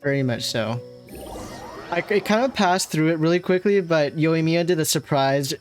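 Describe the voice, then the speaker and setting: A woman's voice: low-pitched, normal speed, faint music behind. A woman giving casual, dryly offhand commentary as she plays through a video game. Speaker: game streamer.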